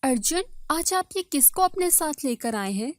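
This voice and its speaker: A woman's voice: sits high, animated, moderate pace, noisy background, voice pushed up. A woman voicing a jealous, wounded first wife in an emotional, protesting way for a dramatized story. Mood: worried.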